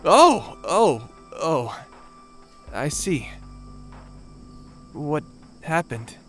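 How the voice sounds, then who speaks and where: A man acts out an awkward young space lord in an audio drama. The voice is high for a male and swings freely in pitch, with a wry, hesitant, sympathetic manner. Faint music sits behind it. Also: big pitch swings, extremely slow, fairly bright tone, full character voice. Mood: startled, concerned. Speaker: voice actor in an audio roleplay. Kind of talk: conversational audio drama acting.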